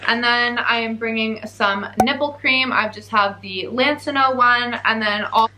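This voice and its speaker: A woman's voice: a little high, unhurried, noisy background. A young Canadian woman vlogger with a Canadian accent, explaining items one by one in an upbeat way. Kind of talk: show-and-tell packing vlog.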